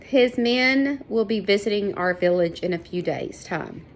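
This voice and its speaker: A woman's voice: medium pitch, lively intonation, a little slow, a little hiss or hum. A woman voicing a respectful, knowledgeable young village girl in a storybook, explaining earnestly. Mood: informative. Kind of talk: storybook character voice.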